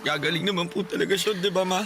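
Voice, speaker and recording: A man's voice: high-pitched, steady tone, fast, music in the background. A male actor playing a worried, innocent schoolboy in a radio drama, speaking politely and hesitantly. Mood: anxious, hesitant. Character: worried, innocent schoolboy, older brother of sick child. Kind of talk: Tagalog radio drama acting.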